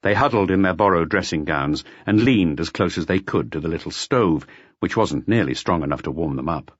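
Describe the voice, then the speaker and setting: A man narrating a literary audiobook in a measured, expressive storytelling manner. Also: low-pitched, no room sound, lo-fi sound. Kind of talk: scripted literary narration of a detective novel.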